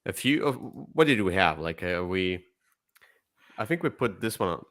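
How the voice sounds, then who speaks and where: A man co-hosting a casual board game discussion, enthusiastic, with halting, repetitive phrasing. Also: low voice, sing-song, fairly bright tone. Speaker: co-host.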